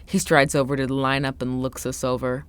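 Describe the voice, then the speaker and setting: A woman's voice: extremely low pitch, little pitch movement, brisk, smooth, pitched below the performer's own voice. A woman voicing a frightened male baseball player in a dramatic, suspenseful first-person audiobook reading. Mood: tense, dramatic.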